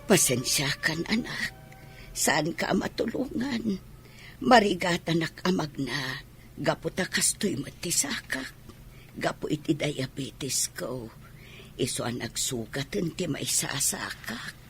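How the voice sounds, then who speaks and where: A woman's voice: deep voice, expressive, slow, faint music behind, full character voice. A woman playing an ailing, grateful elderly mother in a radio drama, plaintive, with a Filipino accent. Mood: apologetic, pained. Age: older. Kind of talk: Ilocano radio drama acting.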